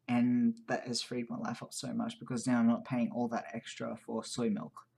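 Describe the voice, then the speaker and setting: A man's voice: mid-range voice, creaky, a little mellow, breathy edge. A man in his twenties vlogging in a casual, rambling and candid way, often laughing at himself. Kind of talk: casual personal chat to camera. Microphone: new microphone, quiet level.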